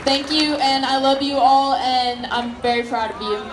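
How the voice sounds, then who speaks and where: Teen girl speaking at a school protest, high voice, loud crowd, echoey phone recording. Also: steady tone, moderate pace, very noisy. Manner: earnest, heartfelt, builds to shouting. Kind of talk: emotional rally speech. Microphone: phone camera in the crowd.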